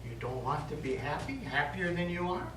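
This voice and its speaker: Stage priest, male actor: gentle, pastoral, measured; animated intonation, heard from far off in an echoey room. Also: a little high. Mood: gently persuasive. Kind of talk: stage play acting. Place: theatre studio stage. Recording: echoey stage, distant mic, noisy.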